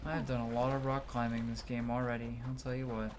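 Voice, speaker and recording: A man's voice: mid-range voice, flat delivery, fairly quick, background noise. A man giving casual live commentary on a video game, rambling as he thinks aloud and reads out game text. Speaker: game streamer. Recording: game music behind the voice. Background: video game music.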